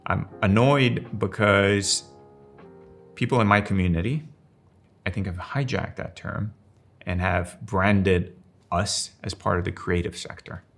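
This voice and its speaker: A man's voice: low voice, fairly even intonation, measured pace, fairly bright tone, soft background music. A man in his sixties from Finland, raised in Italy, speaking reflectively in an interview and explaining ideas thoughtfully. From Finland, raised in Rome, Italy. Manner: thoughtful, explanatory, poses rhetorical questions. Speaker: strategic designer and professor of practice.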